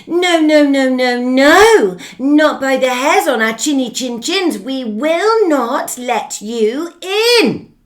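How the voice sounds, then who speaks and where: A woman doing a squeaky defiant little pig. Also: lively intonation, takes it slowly. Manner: high squeaky defiant chant. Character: small stubborn little pig.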